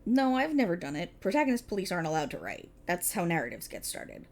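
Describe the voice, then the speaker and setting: Woman acting a prickly, animated female police officer. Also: on the low side, quick pace. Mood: urgent, persuading. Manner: wry, bossy, explanatory, emphatic. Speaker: voice actor in audio roleplay. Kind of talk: scripted ASMR roleplay, one-sided dialogue.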